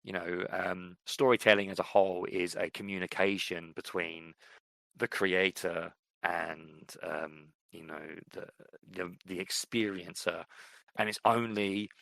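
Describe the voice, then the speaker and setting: A man's voice: low voice, vocal fry, a bit bright, dry recording. A man co-hosting a casual podcast, talking through books and writing in a thoughtful, rambling way, with many fillers and restarts. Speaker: podcast co-host. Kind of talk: casual chat about books and fiction.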